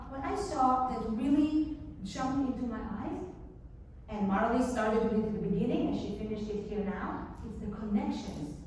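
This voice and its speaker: High-voiced woman, echoey hall. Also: recorded from a distance, slight background noise. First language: Hebrew. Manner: emphatic, builds to excited urging of the audience. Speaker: keynote speaker. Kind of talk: motivational keynote speech. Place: large event hall. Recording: echoey hall, mic from afar.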